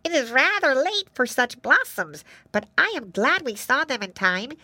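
Busy storybook bee, played by a woman, small, eager and high, animated delivery. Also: moderate pace, dry recording, exaggerated voice.

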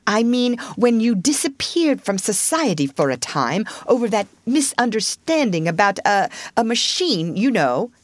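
A woman reading a children's storybook, voicing an earnest, excitable, scolding male water rat who speaks with emphasis. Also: mid-range voice, measured pace, hardly any pauses, no echo, voice pushed up. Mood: slow, hesitant.

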